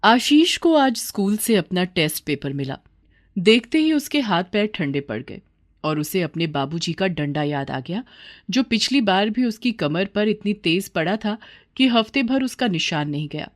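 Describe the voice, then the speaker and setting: Female story narrator, animated delivery, mid-pitched, scripted moral tale for cartoons. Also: moderate pace.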